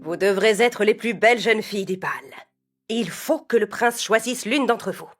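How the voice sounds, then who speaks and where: A woman's voice: medium pitch, normal speed, music in the background, close to an everyday voice. A woman playing a cold, domineering stepmother in a children's storybook, with a commanding, disdainful manner. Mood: scheming, eager. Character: haughty, cold, domineering stepmother of adult age.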